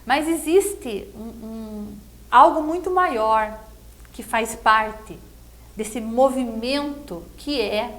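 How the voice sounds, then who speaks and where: A woman's voice: fairly high pitch, extremely slow, low-quality recording. A middle-aged Brazilian woman giving a conversational political interview, explaining herself earnestly and often checking with tag questions. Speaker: political pre-candidate being interviewed.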